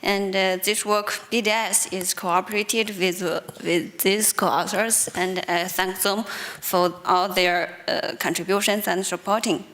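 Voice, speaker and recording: A young woman giving a technical conference talk in a large hall at a podium mic, measured and pausing often. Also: mid-range voice, steady tone, fairly bright tone. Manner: measured, with frequent "uh" pauses. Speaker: conference presenter.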